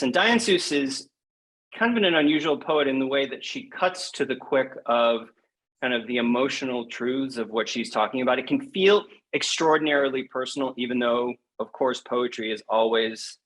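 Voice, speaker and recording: A man's voice: mid-range voice, a bit bright, rough recording. A man with a mid-range voice talks as a guest poet on a podcast. He is thoughtful and emphatic, building his points through restatement in a conversational interview style.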